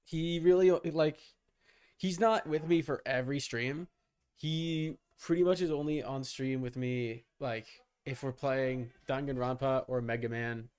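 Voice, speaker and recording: Clear-voiced man streaming a game. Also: medium pitch, steady tone, a little slow, a little mellow. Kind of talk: casual live gaming commentary.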